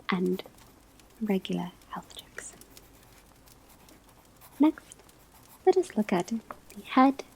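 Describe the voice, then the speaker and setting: Woman doing a gentle witch teacher asmr lecture, slow whispery voice, soft and mellow. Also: medium pitch, full character voice, low-quality recording. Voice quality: breathy, whispered. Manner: slow, measured, instructive. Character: female witch-school divination teacher, gentle and instructive. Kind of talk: ASMR classroom roleplay lecture.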